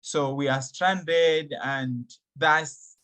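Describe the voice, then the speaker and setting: A young man from Malawi, a climate activist, answering interview questions in a halting way with many fillers and restarts. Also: fairly high pitch, fairly bright tone.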